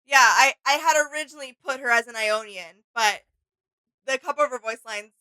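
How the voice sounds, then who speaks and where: A woman's voice: a little high, a little fast, pushed and bright, no echo, rough recording. A woman co-hosting a reaction video, chatting casually in an agreeable, slightly tentative way. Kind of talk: casual opinion chat with co-host.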